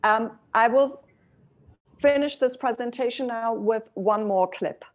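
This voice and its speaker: Woman, forum presenter, slightly high voice, slow delivery, occasional fillers. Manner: unhurried, with fillers.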